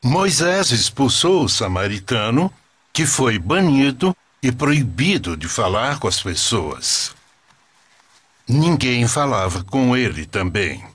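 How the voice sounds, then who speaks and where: A man with a Brazilian accent narrating a scripted religious story in a solemn, measured storytelling manner. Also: fairly low pitch, fairly bright tone.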